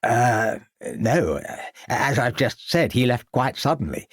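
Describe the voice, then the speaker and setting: A man's voice: mid-range voice, very animated, takes it slowly, husky, no echo, clean studio sound, full character voice. A man voicing a fussy, flustered elderly headmaster who stammers and speaks pompously.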